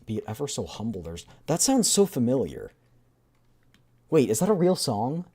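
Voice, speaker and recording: A streamer chatting casually in a rambling, repetitive, excitable way while doing a voiced readthrough of a game story. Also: mid-range voice, normal speed, husky.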